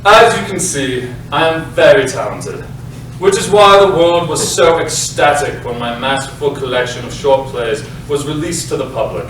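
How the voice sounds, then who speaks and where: A man performs a self-important British playwright addressing his audience in a grand theatrical monologue. His voice sits slightly high and he leans on melodramatic pauses. It is recorded from a distance in a very echoey room with faint noise. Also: animated, fairly bright tone. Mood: grandiose, solemn. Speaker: actor. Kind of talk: theatrical monologue at a staged reading. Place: live staged reading, echoey indoor space.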